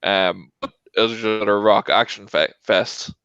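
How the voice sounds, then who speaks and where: A man's voice: lowish voice, steady tone, a little slow, fairly bright tone, clean tone, no room sound. A man hosting a casual panel discussion, introducing items and chatting with guests. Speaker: host of movie debate show. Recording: dry, dull lo-fi audio.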